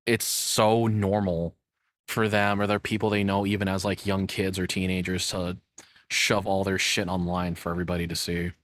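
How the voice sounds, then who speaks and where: A man's voice: sits low, little pitch movement, moderate pace, a bit bright, no room sound. A young man giving casual reaction commentary as a streamer, rambling and frank with some swearing.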